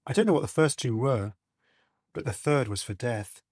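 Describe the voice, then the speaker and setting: A gruff, middle-aged sergeant major played by a male narrator, weathered and grave. He speaks in solemn warnings, pitched in a middle register, with a dry, close storybook sound. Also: lively intonation, moderate pace, darkish tone, no echo, natural voice. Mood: grave, ominous. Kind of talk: dramatised storybook character reading.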